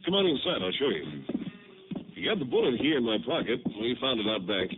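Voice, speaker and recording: A man's voice: mid-range voice, sing-song, a little fast, music in the background, lightly acted. An actor playing an easygoing, folksy male country sheriff in a 1950s radio drama. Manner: easygoing, folksy drawl of words. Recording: tinny lo-fi old radio broadcast with faint hiss.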